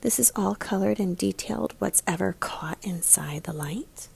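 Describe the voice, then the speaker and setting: A woman with a soft-edged, mellow and breathy voice that often creaks at the ends of phrases. She speaks as an elementary art teacher, guiding young children through a picture book and prompting them to look closely. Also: mid-range voice, normal speed. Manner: guiding, prompts listeners with questions.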